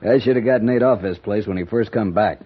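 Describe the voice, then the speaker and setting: An actor playing a stubborn, gruff older rancher father in an old radio western. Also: fairly low pitch, brisk. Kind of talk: 1950s radio western acting. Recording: dull, noisy old radio recording.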